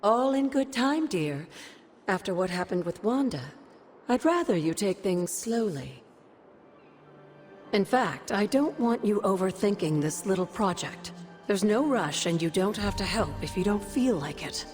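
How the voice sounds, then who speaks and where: A woman playing the ghost of an old witch mentor in a video game, doting, cryptic and teasing, in an unhurried way. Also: medium pitch, animated, a little mellow, natural voice. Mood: fond, teasing. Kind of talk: video game cutscene acting. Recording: music behind the voice.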